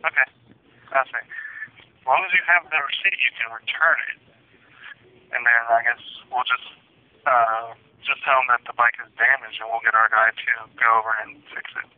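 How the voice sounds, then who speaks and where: A man's voice: mid-range voice, steady tone, normal speed, pauses often, dry recording. A man working as a store manager, giving short, agreeable answers in casual customer service talk. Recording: tinny narrow telephone line.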